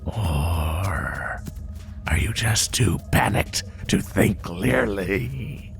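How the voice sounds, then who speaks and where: Man acting as a sadistic monster hunter, rock-bottom pitch, very slow delivery, breathy half-whisper, menacing taunts, horror roleplay, music behind. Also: lively intonation, exaggerated voice. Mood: mocking pursuit. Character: sadistic male spider monster hunting prey through the woods. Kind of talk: horror roleplay audio, addressing the listener.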